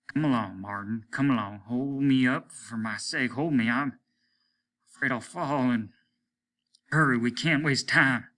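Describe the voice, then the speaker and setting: A man's voice: medium pitch, measured pace, a bit bright, lightly acted. A man voicing a desperate, haggard spaceship captain in a story reading, insistent and demanding. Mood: weakly desperate. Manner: insistent, demanding, repeats himself urgently. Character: desperate, haggard, obsessive male spaceship captain, gun-wielding bully.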